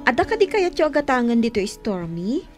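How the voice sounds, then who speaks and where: Friendly young saleswoman, radio drama: high, lilting, perky, eager to please. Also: very animated, brisk, soft background music. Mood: cheerful. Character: cheerful, polite young saleswoman, bubbly and friendly. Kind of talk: Ilocano radio drama acting.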